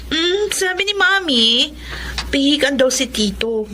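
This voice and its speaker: A woman's voice: sits high, expressive, measured pace, pushed and bright, a little hiss or hum, full character voice. An actress playing a sociable young woman hosting her city friends, in Filipino radio drama style with a Filipino accent. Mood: teasing, easygoing.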